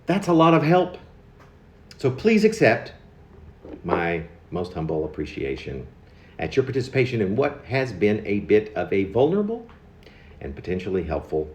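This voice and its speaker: Low-voiced man reading in an echoey room. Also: darkish tone, slight background noise. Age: 50s. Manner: halting, pausing mid-phrase with stressed words. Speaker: poet. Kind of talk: reading own confessional autobiographical poem aloud. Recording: dull lo-fi recording in echoey room.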